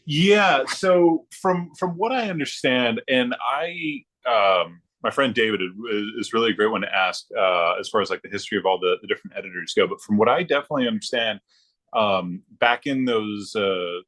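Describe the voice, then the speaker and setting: Low-voiced man, hesitant casual talker. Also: normal speed, a bit bright. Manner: hesitant, agreeable, thinking aloud. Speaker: podcast guest. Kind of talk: casual chat about comic books.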